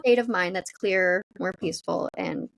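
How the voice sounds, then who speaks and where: A woman's voice: lowish voice, normal speed, creaky, poor audio. A woman co-hosting a podcast, giving conversational advice in an encouraging, explanatory way. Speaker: podcast co-host.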